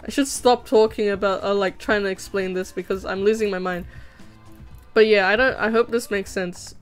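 A woman's voice: medium pitch, steady tone, moderate pace, soft background music. A young woman whose first language was Vietnamese, chatting casually and rambling with lots of fillers and restarts while she animates at home. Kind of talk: casual chat. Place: home room.

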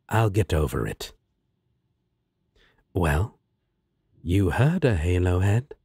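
A man's voice: deep voice, big pitch swings, drawn-out words, pauses often, darkish tone, husky, dry recording, studio quality, heavily acted. A man playing a sly, laid-back demon roommate in an audio drama, teasing and sardonic with a coaxing drawl. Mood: amused, laid-back. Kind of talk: roleplay audio drama acting.

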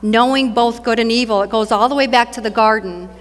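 A woman preacher speaks in a fairly high, soft voice, with long reverb from a large church hall around her. She shares her testimony earnestly and repeats key phrases to press them home. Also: fairly quick. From northern India. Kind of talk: sermon and personal testimony to an audience. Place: large church stage, indoors.

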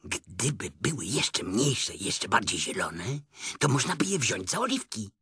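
A man's voice: mid-range voice, wildly swooping pitch, normal speed, pushed and bright, breathy edge, dry recording, clean studio sound, pitched above the performer's own voice, heavily acted. A man voicing a bossy, cautious rabbit in a children's story, fussy and given to muttering. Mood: sour, grumbling. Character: bossy, grumbling, cautious male garden rabbit.

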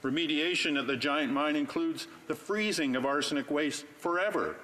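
A man speaks from the floor of a legislative chamber in a fairly high voice, pressing pointed rhetorical questions. A faint background noise sits under his prepared remarks, which are picked up by a desk microphone. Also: moderate pace, sharp tone, poor audio. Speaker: member of parliament. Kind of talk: prepared parliamentary floor speech.

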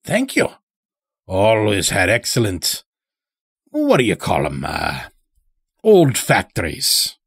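Male narrator as old werewolf elder: folksy, sly, tired, husky, swooping delivery. Horror audio story. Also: on the low side, huge pitch swings, slow, long pauses, fairly bright tone, no echo, clean studio sound, full character voice. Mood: wry, weary. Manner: folksy, rustic, ungrammatical drawl.

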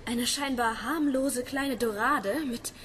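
Nervous, high-voiced young student. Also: female voice, slow. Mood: awestruck. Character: nervous, awestruck female student snooping around. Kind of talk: German radio play acting. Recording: noisy ambience behind.

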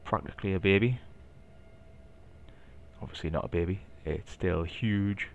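Geordie male, deep, easygoing explainer thinking aloud, slightly muffled home-recorded sound. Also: moderate pace, creaky, slight background noise. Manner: relaxed, explanatory, thinking aloud with light asides. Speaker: flight simulator video maker. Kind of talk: casual narrated gameplay walkthrough.

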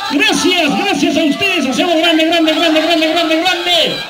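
High-pitched Peruvian Andean male festival announcer. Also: steady tone. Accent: Peruvian Andean Spanish. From Huancavelica, Peru. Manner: rousing, drawn-out shouts with repeated words. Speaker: announcer at a carnival dance contest. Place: outdoor town plaza. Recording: echoey PA, loud music and crowd. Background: music and crowd.

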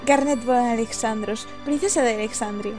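Woman reading polite, high-voiced young princess. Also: normal speed, over music, close to an everyday voice. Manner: courtly, formal.

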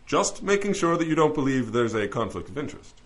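An actor playing a shrewd, wry lawyer with a theatrical, probing way of questioning in an audio drama. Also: male voice, medium pitch, big pitch swings, quick pace, lightly acted. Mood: probing, smooth. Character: wry, shrewd male lawyer pleading in a celestial court. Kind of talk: audio drama courtroom acting.

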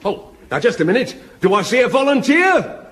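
An actor playing a pompous variety-show compere in a vintage radio comedy. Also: male voice, very high-pitched, sing-song, fairly quick, fairly bright tone, a little hiss or hum, exaggerated voice. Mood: grand. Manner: grand, pompous announcing.

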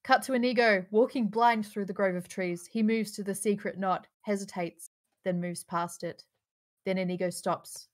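A woman's voice: medium pitch, fairly even intonation, moderate pace. Woman reading screenplay stage directions aloud. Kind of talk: live table read of a screenplay, with casual asides. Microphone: home microphone on a group video call.

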